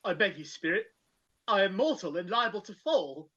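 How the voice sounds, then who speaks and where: A woman's voice: mid-range voice, lively intonation, moderate pace, fairly bright tone, slightly rough. A woman playing a grumpy, frightened old male miser in a live dramatic reading, formal and querulous. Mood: wary, pleading. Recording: dull, muffled video-call audio.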